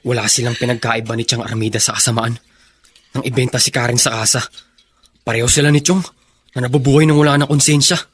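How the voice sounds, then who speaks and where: A dutiful young Filipino son in a radio drama. He talks very quickly at an ordinary male pitch, sounding earnest and polite. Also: fairly even intonation, fairly bright tone, husky. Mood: indignant. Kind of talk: Tagalog radio drama acting.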